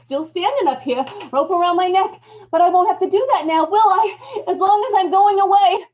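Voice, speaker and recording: High-pitched, desperate woman in radio horror. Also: a little fast, muffled recording. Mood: nervous, frantic relief. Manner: pleading, broken phrases, cries out. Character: desperate, guilt-ridden killer woman pleading with a dead woman. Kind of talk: old-time radio horror acting.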